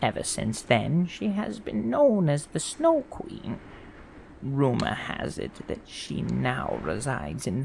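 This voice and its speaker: A woman playing a kindly elderly grandmother in an audio drama, telling stories in a gentle, unhurried way. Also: extremely low pitch, creaky, exaggerated voice. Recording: echoey reverberant room with noise.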